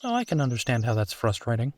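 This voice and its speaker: A man's voice: mid-range voice, big pitch swings, darkish tone, natural voice. Reassuring, affectionate husband, played by a man. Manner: reassuring, conversational, unhurried pauses. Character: supportive, affectionate husband comforting his partner. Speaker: audio roleplay performer. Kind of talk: intimate comfort roleplay, one side of a conversation.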